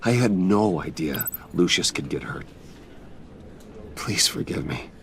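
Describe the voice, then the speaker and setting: An actor playing a guarded, restrained man in a video game, speaking in a hushed, measured, earnest way. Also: low-pitched, expressive, a little hiss or hum, full character voice. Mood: apologetic, grave. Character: guarded, apologetic adult man consoling, restrained.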